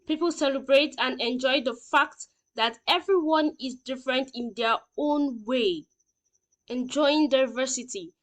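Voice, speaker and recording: Woman, high voice, teacher, didactic lesson delivery, explains simply, checks understanding. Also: measured pace. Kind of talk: lesson reading slide points and explaining simply.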